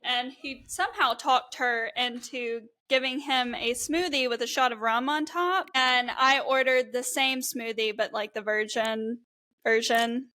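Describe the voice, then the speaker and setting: A young woman from Mississippi with a softened Southern accent, co-hosting a podcast and telling stories in an upbeat, giggly way. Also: on the high side, fairly even intonation, a little slow. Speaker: podcast co-host. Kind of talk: casual chat.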